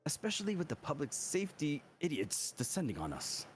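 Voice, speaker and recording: A courteous, self-assured game rescuer as a man reads him. He teases with wry ease and swings widely in pitch, over faint music. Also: wildly swooping pitch, fairly quick. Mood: apologetic. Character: Jack Weiser, confident, teasing, courteous male rescuer. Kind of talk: visual novel dialogue reading.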